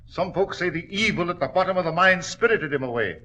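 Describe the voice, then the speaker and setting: An actor playing a devoted, worried mine hand, earnest and deferential, in a 1940s radio drama. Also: male voice, high voice, animated, a little fast, bright, forceful tone, exaggerated voice. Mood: alarmed. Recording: dull old-time radio recording.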